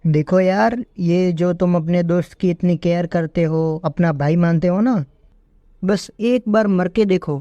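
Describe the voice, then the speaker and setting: High-voiced mellow deadpan man. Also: measured pace, no echo, muffled recording. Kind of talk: scripted comic monologue addressed to a friend.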